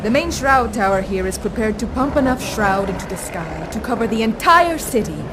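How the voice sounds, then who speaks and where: A woman's voice: fairly high pitch, animated, normal speed, lightly acted. An actress playing a haughty, hot-tempered female vampire villain in a video game, dramatic and emphatic. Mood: awed, scheming. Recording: loud ambient noise behind voice.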